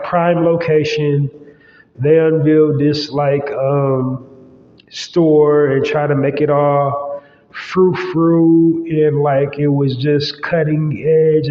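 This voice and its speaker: A man hosting his own podcast, talking casually and loosely with lots of fillers, his nose stuffy and congested. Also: a little high. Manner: loose, rambling, with many fillers. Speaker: podcast host. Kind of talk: casual solo podcast monologue. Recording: roomy, long-echo, slightly dull sound.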